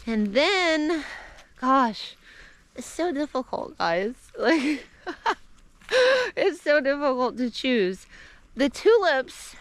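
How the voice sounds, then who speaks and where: A woman's voice: high voice, expressive, drawn-out words, pauses often, no echo. A woman in her forties, a flower farmer, chatting casually and indecisively while she walks around her farm with a camera held at arm's length. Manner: chatty, indecisive, often laughs and says "like". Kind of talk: casual walking vlog. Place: outdoors on a rural farm in winter. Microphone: handheld wide-angle camera at arm's length.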